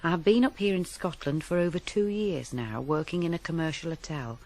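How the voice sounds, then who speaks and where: A woman playing an absent mother who reads her letter aloud in a radio drama, measured and plain-spoken. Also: deep voice, a little mellow, rough recording. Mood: apologetic.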